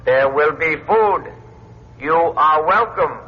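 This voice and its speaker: An actor playing a courteous but imperious disembodied alien in a vintage radio drama, with formal, measured, pedantic diction. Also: male voice, fairly high pitch, animated, slightly rough, exaggerated voice. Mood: formal, controlling. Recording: dull, noisy old radio recording.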